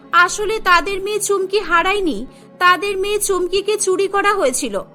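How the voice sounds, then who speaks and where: A woman's voice: very high voice, pushed and bright. A woman narrating a scripted cartoon story as the storyteller. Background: background music.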